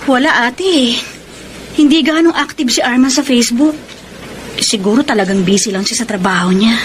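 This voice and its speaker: A woman's voice: a little high, normal speed, a little hiss or hum, lightly acted. A woman with a Filipino accent playing a patient, lonely wife and reassuring mother in a radio drama. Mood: hesitant, trusting. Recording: radio drama with loud music underneath.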